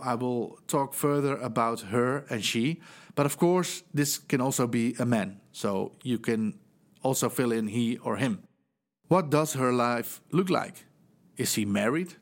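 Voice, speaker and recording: A middle-aged man from the Netherlands with a Dutch accent, hosting a solo podcast and giving earnest, measured advice. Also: mid-range voice. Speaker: podcast host, fundraising trainer. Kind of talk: scripted solo podcast talk.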